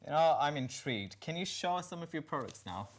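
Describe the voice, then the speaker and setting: A man co-hosting a studio tech show, playful and teasing, with quick reactions to his co-host. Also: a little high, animated. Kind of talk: presenting a studio tech segment with banter.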